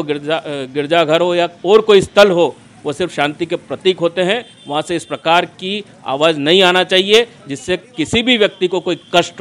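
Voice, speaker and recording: A middle-aged man giving emphatic, deliberate political answers as an organisation's spokesman in an interview, into a handheld microphone. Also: a little high, a bit bright. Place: small furnished room indoors, seated on a sofa. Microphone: handheld reporter's microphone held close.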